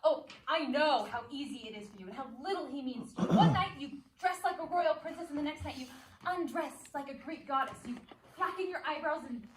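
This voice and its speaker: A woman's voice: high voice, expressive, a little fast. A woman playing a jealous, pleading young wife in a stage play, tearful and bitterly self-deprecating. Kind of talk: one-act stage play acting. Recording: echoey room from far off, noisy.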